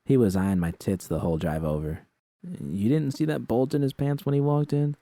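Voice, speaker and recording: A man's voice: mid-range voice, moderate pace, warm tone, dry recording, clean studio sound, pitched above the performer's own voice, natural voice. A man voicing a frank, bold young woman with a blunt, casual manner in a story. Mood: blunt, confident.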